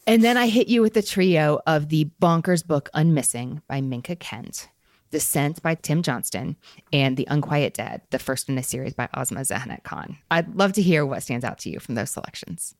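Low-pitched woman podcast host with creaky voice. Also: expressive, moderate pace, dry recording, clean studio sound. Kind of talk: warm book-podcast hosting, interview and sign-off.

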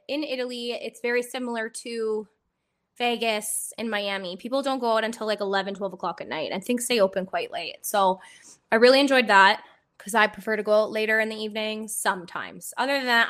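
A woman hosting a casual chat podcast, her voice hoarse and a little phlegmy from a cold, bubbly and quick to laugh. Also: medium pitch, fairly bright tone. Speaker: podcast host.

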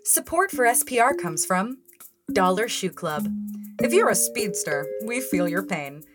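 Lively actress as female sponsor announcer. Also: medium pitch, lightly acted. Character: chirpy female sponsor announcer for an absurd product. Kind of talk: parody radio sponsor spot.

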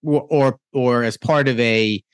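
Radio host guy talking politics, keeps restarting his sentences, pretty emphatic, mid pitch. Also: measured pace, smooth. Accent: New York. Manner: conversational, restarts often, emphatic. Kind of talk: talk radio commentary.

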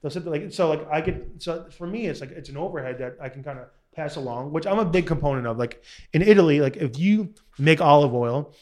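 A man's voice: a little high, fast talker, a little mellow. A chef in his forties from Long Island, chatting casually, rambling with lots of fillers and restarts and the odd swear word. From Long Island, New York. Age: 40s. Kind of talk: casual podcast chat.

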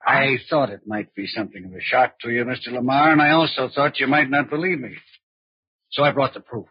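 A cool American blackmailer with a very husky, insinuating voice. He is sardonic and quietly menacing, in 1940s radio style. The sound is dull and dry. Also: medium pitch, brisk, dry recording. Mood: pressing, menacing. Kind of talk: 1940s radio drama acting. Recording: muffled old radio recording.

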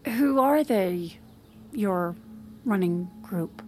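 A woman's voice: medium pitch, animated, drawn-out words, darkish tone. An actress playing a guarded, weary, dry-witted wife and mother, giving clipped, deflecting answers in a naturalistic audio drama. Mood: surprised, probing.

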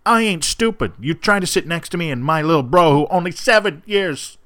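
A man's voice: fairly high pitch, expressive, fairly quick, pushed and bright, no echo, clean studio sound, voice pushed up. A man playing a furious, scornful jilted young person in an emphatic, declamatory dramatic reading. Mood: indignant, scornful.

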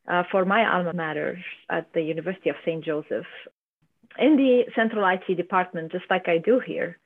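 Woman from Lebanon, low voice, tinny line. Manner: earnest, explains step by step. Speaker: IT director, podcast guest. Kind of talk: conversational interview answers.

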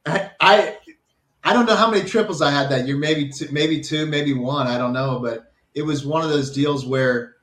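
A man's voice: fairly high pitch, moderate pace, a bit bright. An American man, a former college ballplayer, reminiscing casually as an interview guest. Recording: roomy, echoey sound.

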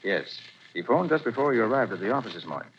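An actor playing a calm, assured male detective who speaks in a measured, authoritative way in a 1940s radio drama. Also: lowish voice. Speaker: private detective. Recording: dull 1940s radio recording, faint hiss.

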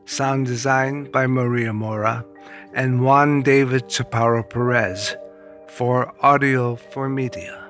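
A man announces scripted credits at a slow, measured pace. His pitch is mid-range and his delivery neutral. Faint music sits underneath. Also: fairly bright tone.

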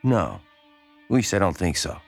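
A man's voice: deep voice, sing-song, moderate pace, gravelly, faint music behind, heavily acted. A man playing a timid, lonely small-town man in an audio horror drama, hesitant, stammering and eager to please. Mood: groggy, confused.

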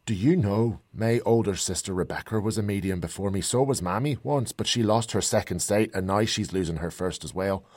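A hesitant, earnest young woman from a storybook, speaking softly with a wistful edge, as a male narrator puts her on. Her voice sits raised yet stays husky and low-set for a woman, and it sounds dry and close with no room around it. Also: brisk, hardly any pauses, no echo, close to an everyday voice.

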